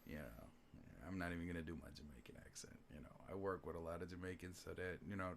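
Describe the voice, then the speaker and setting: A man's voice: low voice, fast, gravelly. A man hosting a podcast and talking about making beats, laid-back, swearing casually and laughing often. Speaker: podcast host, beatmaker. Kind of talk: casual chat.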